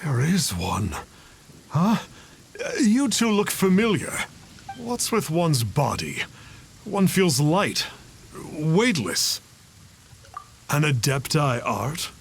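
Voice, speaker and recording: A man's voice: on the high side, wildly swooping pitch, slow, gravelly, pauses often, a little hiss or hum, heavily acted. An actor playing a pompous, cowardly male charlatan who poses as a sage in a video game, speaking theatrically. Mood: bewildered. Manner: theatrical, pompous, calls himself "one".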